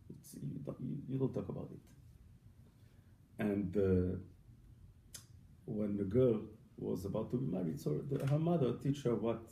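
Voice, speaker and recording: Gravelly, halting rabbi with a dark voice. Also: male voice, fairly low pitch. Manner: halting, repeats words, mixes Hebrew and Yiddish terms. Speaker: rabbi teaching family purity law. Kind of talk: informal lesson. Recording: echoey room, faint background noise.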